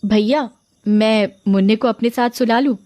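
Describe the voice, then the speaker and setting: A woman voicing a gentle, caring young aunt in a story, pleading and respectful. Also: on the high side, moderate pace, dry recording, lightly acted.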